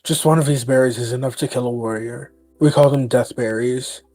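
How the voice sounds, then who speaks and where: A man's voice: medium pitch, steady tone, a little fast, close to an everyday voice. A man playing a serious, protective young healer cat in a roleplay audio drama. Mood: grave. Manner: gentle, serious, blunt. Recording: dry, music behind.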